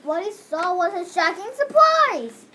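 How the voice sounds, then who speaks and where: Little kid reading a story script out loud, really high bright voice, slow. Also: very animated, slight background noise. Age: child, elementary school. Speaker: readers theater performer.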